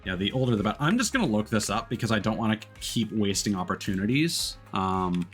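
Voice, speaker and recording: A man chatting casually while live streaming a game, explaining things in a rambling, halting way. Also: fairly low pitch, sing-song, a bit bright, soft background music. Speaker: live streamer playing games. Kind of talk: casual chat while gaming.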